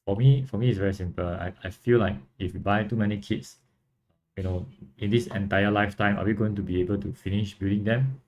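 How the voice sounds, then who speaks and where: A young man from Singapore with a Singaporean accent, casually chatting on a livestream and answering viewers in a relaxed, rambling way. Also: on the low side, fairly quick, a little hiss or hum. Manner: relaxed, rambling, frequent fillers and restarts. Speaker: livestream host and model kit builder.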